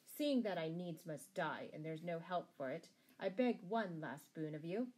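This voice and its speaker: A woman's voice: lowish voice, lively intonation, a little slow, darkish tone, slightly rough, rough recording. A woman playing a ringing, defiant captive outlaw. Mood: proud pleading. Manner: ringing, declamatory, archaic heroic speech. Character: defiant, proud, loyal young male outlaw captive.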